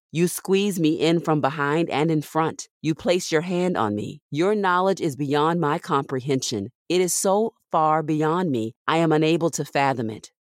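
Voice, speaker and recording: A woman with a low-pitched voice reads scripture aloud for a devotional podcast. The recording is dry and close, with very little room sound around her voice. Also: no echo. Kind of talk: scripted devotional Bible reading.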